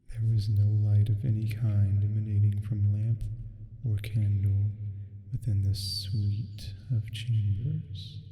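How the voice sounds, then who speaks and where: Dark, slow, echoing demon acted by a man. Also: fairly low pitch. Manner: measured, hushed delivery with long pauses. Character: male sleep paralysis demon reading a bedtime horror tale. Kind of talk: slow ASMR reading of gothic fiction. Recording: heavily reverberant, echoing voice.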